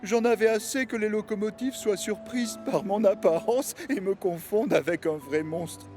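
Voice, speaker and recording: A proud, kind-hearted cartoon steam engine played by a man with a high voice, over a music bed. He speaks earnestly and plainly, as a well-meaning friend would. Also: moderate pace, darkish tone, lightly acted. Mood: confiding. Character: proud but good-hearted male steam locomotive with monstrous looks. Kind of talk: French-dubbed children's cartoon acting.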